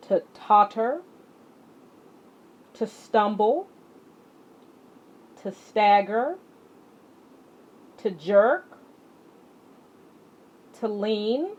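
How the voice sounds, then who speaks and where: A woman teaching children as an exercise leader, speaking deliberately and emphatically, addressing her students directly. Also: mid-range voice, darkish tone. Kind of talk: instructional lesson to children, read-along with warm-up exercises. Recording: muffled audio with clear background noise.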